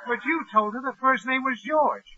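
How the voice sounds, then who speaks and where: A man's voice: very high-pitched, normal speed. An actor playing a flustered, indignant, henpecked father in old radio comedy, sputtering and exasperated. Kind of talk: 1940s-50s radio comedy acting. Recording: muffled, slightly noisy old radio recording.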